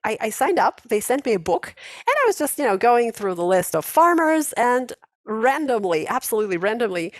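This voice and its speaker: A woman's voice: on the high side, very animated, fairly quick, dry recording. Woman host, 40s, chatty and laughing, dry close video-call sound. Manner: chatty, laughs often, many restarts. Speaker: host of an English conversation class. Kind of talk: casual online class chat.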